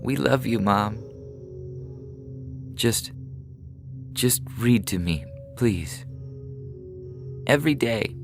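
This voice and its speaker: A tender young daughter in an audio story, earnest and pleading, voiced by a man. The pitch is lifted well above his deep narrating register, with music underneath. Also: animated, very slow, pauses often. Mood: pleading, sad. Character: tender young girl pleading with her mother. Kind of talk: audio short story reading.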